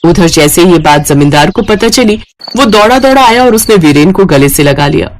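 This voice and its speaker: Cartoon moral-tale narrator, scripted read, very animated pitch movement, mid-range voice. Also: moderate pace.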